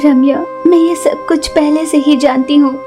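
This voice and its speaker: A woman's voice: high voice, fairly even intonation, moderate pace, warm tone, soft background music. A woman voicing a kind, selfless village wife and mother with an earnest manner in a cartoon story. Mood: forgiving, earnest.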